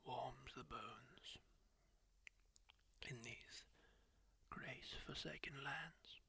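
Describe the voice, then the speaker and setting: A man whispering as a kindly masked male wanderer who gently reassures a wounded stranger, with musing asides. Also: mid-range voice, very slow, creaky, full character voice. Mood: soothing. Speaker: ASMR roleplay creator. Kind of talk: whispered ASMR roleplay.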